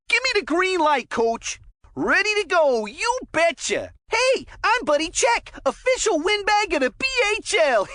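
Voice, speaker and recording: A goofy kid sports commentator with a very high voice that swoops up and down. The delivery is folksy, gushing and full of boastful windbag chatter, made for a cartoon hockey game, in a close, dry recording. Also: female voice, pushed and bright, dry recording, heavily acted. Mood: boastful, cheerful.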